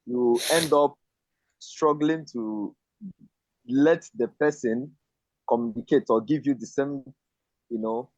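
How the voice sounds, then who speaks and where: A man's voice: medium pitch, slow, long pauses, darkish tone, dry recording. A man, a guest in a casual online discussion, talking hesitantly and searching for words. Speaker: panel guest. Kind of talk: casual discussion on a video call. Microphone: video call. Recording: dull lo-fi video call audio.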